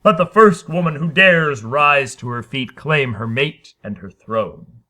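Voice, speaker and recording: A man's voice: medium pitch, a little slow, sharp tone. A man voicing a huge, defiant young self-proclaimed emperor in a story reading, bellowing grandiose commands. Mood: grandly commanding.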